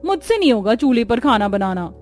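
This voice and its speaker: A woman's voice: on the high side, very animated, fairly quick, darkish tone, faint music behind. A woman voicing a spoiled, haughty grown daughter from the city who boasts and complains in a cartoon. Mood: haughty, annoyed. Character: haughty, spoiled city daughter, grumbling about village life.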